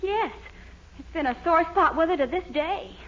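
A woman's voice: extremely high pitch, expressive, normal speed. A woman playing an earnest, easily exasperated young fiancée in 1940s radio comedy style. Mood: earnest, confiding. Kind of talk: 1940s radio sitcom acting. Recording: muffled, hissy 1940s radio recording.

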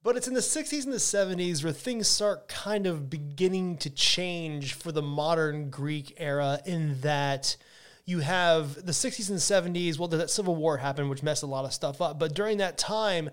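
An upbeat man with a slightly higher voice hosts a podcast in a relaxed, conversational way. He talks with excitement and often stops mid-sentence to rephrase. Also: normal speed. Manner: enthusiastic, chatty, restarts sentences. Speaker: wine podcast host. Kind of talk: casual educational monologue.

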